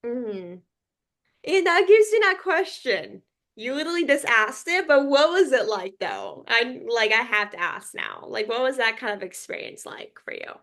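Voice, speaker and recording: A woman's voice: on the high side, very animated, normal speed, fairly bright tone. A young adult woman hosting a podcast interview, talking casually and ramblingly with frequent fillers like "like". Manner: casual, heavy use of "like", rambling. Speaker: podcast host.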